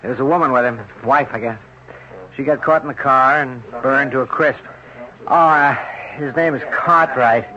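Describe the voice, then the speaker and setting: An actor playing a brisk, wisecracking male emergency doctor, offhand and slangy. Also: medium pitch, bright, forceful tone, close to an everyday voice. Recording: muffled vintage radio broadcast.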